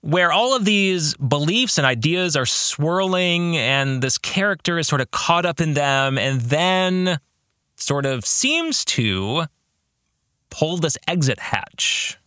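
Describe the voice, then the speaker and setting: Slow, bright-voiced man with animated pitch. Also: clear, resonant tone, no echo, studio quality. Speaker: podcast host and novelist.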